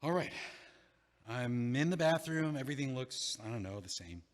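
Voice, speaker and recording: A man's voice: mid-range voice, animated, moderate pace, big-room sound, natural voice. A man playing a nervous, rambling ex-boyfriend. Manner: hesitant, rambling, self-deprecating. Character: nervous, wry man locked out of his home. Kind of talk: audio play acting.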